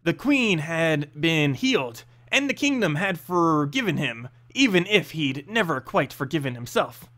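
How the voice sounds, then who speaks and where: A man narrating a fantasy story in an expressive, dramatic storyteller's manner. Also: fairly high pitch, measured pace, hardly any pauses. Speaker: narrator reading game lore.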